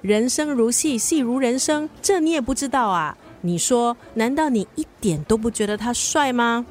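一位女演员在广播剧里饰演爱八卦、满脑子浪漫幻想的年轻闺蜜，带新加坡口音，语气夸张、爱唠叨。. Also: female voice, fairly high pitch, expressive, moderate pace, natural voice. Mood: dreamy, teasing. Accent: Singaporean. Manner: teasing, nagging, dramatic exclamations. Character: gossipy, romance-obsessed young woman, best friend. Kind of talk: radio drama dialogue.

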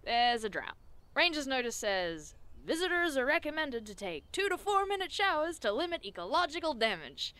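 A woman's voice: sits high, sing-song, moderate pace, sharp tone, no room sound, full character voice. A woman playing a skeptical, teasing alien believer in an audio drama, argumentative and wry.

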